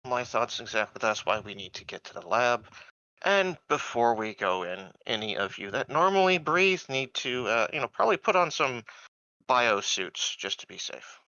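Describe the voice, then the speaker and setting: Bright, forward-voiced man playing a game. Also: medium pitch, big pitch swings, normal speed, no echo, muffled recording. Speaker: tabletop roleplaying game player. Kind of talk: casual game-table chat.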